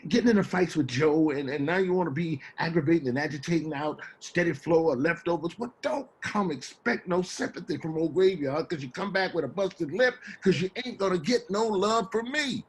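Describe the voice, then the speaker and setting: A man's voice: a little high, slightly rough. An actor playing an old homeless street man, wry and weary, rambling colloquially in a play reading. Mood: agitated, teasing. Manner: colloquial, musing, drawn-out repetitions. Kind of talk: dramatic table reading of a play. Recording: faint room noise, video call.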